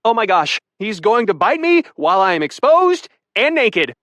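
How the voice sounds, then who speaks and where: A man's voice: expressive, normal speed, no echo. A man voicing a panicked young woman who shrieks and scolds frantically. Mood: frantic scolding. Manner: shrill, frantic, yelling.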